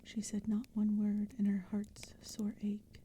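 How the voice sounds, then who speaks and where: Woman, ASMR poetry reader, breathy whisper, very mellow tone, even and gentle delivery, faint fire and forest sounds. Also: medium pitch. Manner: gentle, measured, hushed storytelling. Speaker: audiobook reader. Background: crackling fire, forest ambience.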